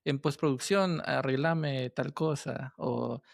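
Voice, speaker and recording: A man with a Latin American Spanish accent that uses vos, hosting a casual interview and thinking aloud in a halting way. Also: mid-range voice, steady tone, gravelly, dry recording, clean studio sound. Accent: Latin American Spanish with voseo. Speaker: podcast host and interviewer.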